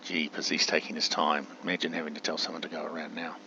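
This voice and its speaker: A man with a deep voice that has a bright edge chats his way through a simulator game. He thinks aloud in a casual way and slips into spoken radio commands. The recording is dull, with faint hum behind him. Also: flat delivery, quick pace. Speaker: gamer narrating a simulator playthrough.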